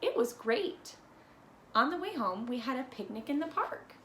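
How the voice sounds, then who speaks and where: A woman reading a children's storybook aloud expressively, with deliberate phrasing and playful emphasis. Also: sits high, big pitch swings. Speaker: read-aloud presenter. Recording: echoey room with faint noise.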